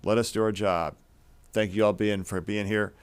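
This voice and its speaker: Deep, smooth-voiced man hosting a panel. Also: steady tone, normal speed. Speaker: host and physician moderating a briefing. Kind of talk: live panel moderation.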